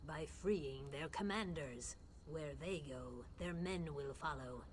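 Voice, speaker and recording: A woman playing a grave mystic who speaks for the dead, solemn, measured and formal, in a fantasy game. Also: low-pitched, slight background noise. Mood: calm, instructive. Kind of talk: scripted fantasy game dialogue.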